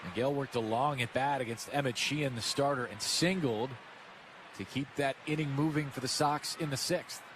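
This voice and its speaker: A man's voice: mid-range voice, normal speed. An American man calling live baseball play-by-play for radio. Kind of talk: live sports commentary. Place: stadium broadcast booth. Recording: clear stadium ambience noise behind. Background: ballpark ambience.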